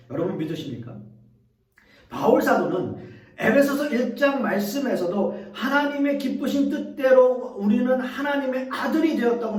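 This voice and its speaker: Man, pastor, high voice, sermon, earnest, echoey church, distant mic. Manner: earnest preaching, addresses the congregation directly.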